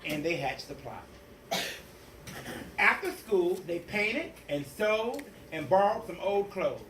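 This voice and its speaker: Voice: mid-range voice, big pitch swings, slow pace, a bit bright. A storybook reader doing a theatrical, emphatic read-aloud of a children's picture book. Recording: echoey room, distant mic, faint noise.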